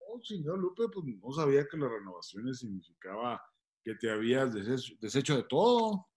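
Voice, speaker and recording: An older man with a husky voice and a Mexican accent playing a hesitant, thrifty Mexican man over a video call. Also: mid-range voice, big pitch swings, fast, a little mellow, lightly acted. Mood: sheepish, surprised. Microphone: video call from home.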